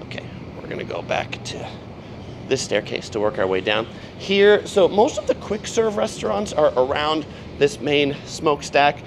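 A man in his forties giving a casual walking tour of a cruise ship, talking in a relaxed way with frequent fillers as he lists what he sees. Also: fairly high pitch, normal speed, noisy background. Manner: relaxed, with frequent ums and listing items. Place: aboard a cruise ship, indoor and outdoor decks. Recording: clear music under the voice.

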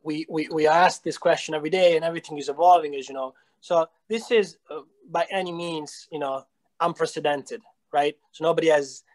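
A man's voice: fairly high pitch, brisk. A man in his thirties with an Italian accent, talking hesitantly with many fillers in a video call interview. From Italy. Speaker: real estate company founder. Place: small room indoors.

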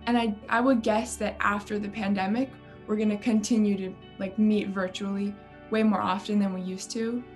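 A teenage high school girl speaking casually and candidly about her activism in an interview. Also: flat delivery, moderate pace, soft background music. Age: teens. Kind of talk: documentary interview.

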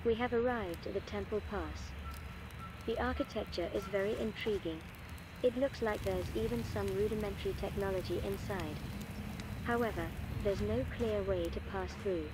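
A woman's voice: medium pitch, moderate pace, close to an everyday voice. Woman acting an earnest archaeologist over noisy game ambience. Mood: curious, troubled. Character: earnest, composed female archaeologist leading a jungle expedition. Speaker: game character recording audio logs. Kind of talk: scripted audio-log narration, game voice acting.

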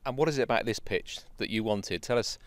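A man's voice: on the low side, fairly bright tone, no room sound. A man asking casual, teasing interview questions for a football club's media channel. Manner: chatty, teasing, quick.

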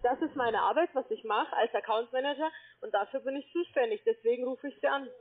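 A woman's voice: high-pitched, a little fast. A woman working as a phone sales agent, speaking defensively and persistently in an argument. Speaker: telephone sales agent, account manager. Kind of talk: sales call turned argument. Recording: thin, band-limited phone-line sound.

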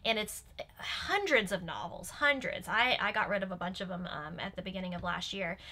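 A young woman chatting casually and enthusiastically in a rambling, animated way, like a nerdy fan. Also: medium pitch, a little fast. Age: young adult. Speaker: guest commentator and Star Trek fan. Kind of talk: casual reaction chat. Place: small cosy furnished room indoors.

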